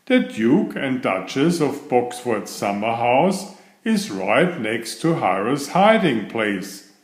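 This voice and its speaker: Slow, expressive man reading in an echoey room. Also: mid-range voice. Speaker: storybook reader. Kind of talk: read-aloud children's bedtime story narration. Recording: roomy, echoey sound.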